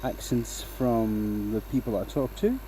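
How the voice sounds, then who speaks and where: A man's voice: fairly low pitch, measured pace, slight background noise, low-quality recording. A man from Denmark with a light, mixed accent hosts a woodturning live stream and talks casually through each step as he works. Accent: light Danish, mostly a mix of English accents picked up online. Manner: relaxed, explains as he works, asks "right?" often. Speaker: woodturning livestream host. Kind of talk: casual live-stream talk while working.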